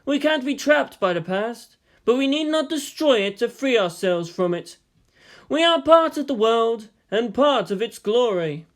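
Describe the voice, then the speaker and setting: A high, lifted voice for an amused older Chinese strategist in an audiobook tale, acted out by a male reader. He speaks like a grinning philosopher, teasing as he explains. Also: expressive, measured pace. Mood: earnest, reflective. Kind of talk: dramatized audiobook dialogue.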